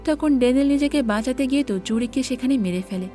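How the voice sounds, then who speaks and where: A woman with a fairly high voice delivers scripted recap narration, moving through a drama's story and relaying dialogue as she goes. Music plays underneath her voice. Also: fast talker, dark tone. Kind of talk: scripted story recap narration, reading characters' lines in reported speech.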